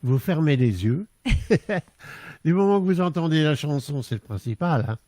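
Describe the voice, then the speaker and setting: A man's voice: fairly high pitch, expressive, normal speed, mellow, no echo. A man chatting casually as he hosts an online live music stream. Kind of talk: casual chat.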